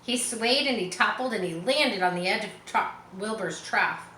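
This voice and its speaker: Bright-voiced woman reading a storybook aloud. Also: medium pitch, expressive, moderate pace, echoey room, slight background noise, rough recording. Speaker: storybook reader. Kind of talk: expressive read-aloud narration for children with casual asides.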